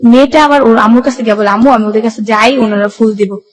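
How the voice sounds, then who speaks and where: Young bangladeshi woman telling her life story, talks really fast, everyday colloquial bengali accent, keeps quoting people. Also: on the high side, fairly even intonation. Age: young adult. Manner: colloquial run-on storytelling with reported dialogue. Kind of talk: personal life-story narration.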